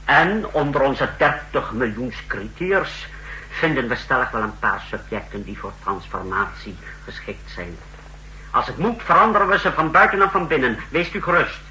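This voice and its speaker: An actor in a 1960s Dutch radio drama playing a self-assured, menacing secret service chief who speaks emphatically and formally. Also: male voice, mid-range voice, bright, forceful tone, low-quality recording. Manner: emphatic, deliberate, formal briefing delivery. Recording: old 1960s radio recording, faint hum.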